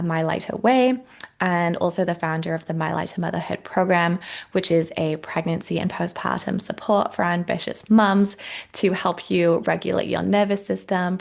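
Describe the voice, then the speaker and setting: A woman in her thirties with a Welsh Canadian accent, speaking to expecting mothers as a coach. Her voice sits fairly low and has a gravelly fry to it. She talks in a soft, encouraging, casual way, full of likes and you knows. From Wales, raised in Canada. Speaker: motherhood coach and course founder. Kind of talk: casual masterclass talk to the viewer.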